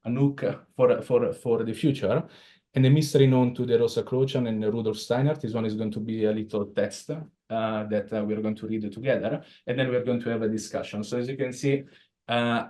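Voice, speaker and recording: A man's voice: medium pitch, dark tone. A man from Italy with an Italian accent, giving an informal lecture to a study group in a bookshop room, hesitant and full of filler sounds. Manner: hesitant, many filler sounds, non-native phrasing. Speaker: presenter.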